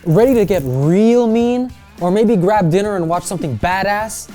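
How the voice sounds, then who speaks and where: A woman's voice: mid-range voice, normal speed, dark tone, soft background music. A woman presenting a scripted countdown list with chatty, opinionated pop-culture commentary.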